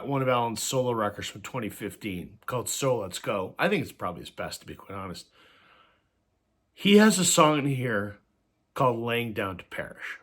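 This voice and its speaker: A man hosting a vinyl record channel, telling stories conversationally with plenty of fillers, a little hoarse from a cold. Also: medium pitch, fairly bright tone. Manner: conversational storytelling with frequent fillers. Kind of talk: casual talk to camera.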